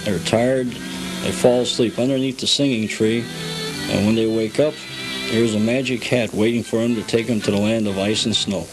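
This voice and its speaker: A man's voice: fairly low pitch, fairly even intonation, normal speed, over music, poor audio. A man recorded at home as an interview guest, telling a story step by step in an informal guided way. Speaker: guest, hobbyist showing the village they built. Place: at home, indoors.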